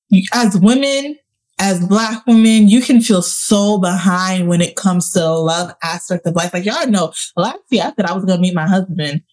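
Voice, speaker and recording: Woman in her late 20s cohosting a podcast, earnest, thinks out loud in long rambly thoughts, medium pitch. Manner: earnest, reflective, talks in long run-on thoughts. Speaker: podcast co-host. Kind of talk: casual chat.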